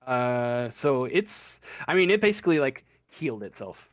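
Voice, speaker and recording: A man running a tabletop horror game as game master, narrating with many pauses and fillers. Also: mid-range voice, sing-song, dry recording, clean studio sound. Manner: halting, many ums and uhs. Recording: online voice chat.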